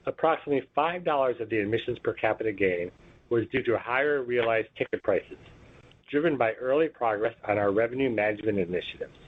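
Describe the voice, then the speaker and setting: A man's voice: mid-range voice, breathy edge. A man reading a scripted financial results presentation as a company executive, in a formal, businesslike manner. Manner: formal, businesslike, measured recitation of figures. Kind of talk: scripted earnings call presentation, read aloud. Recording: tinny, muffled phone conference line.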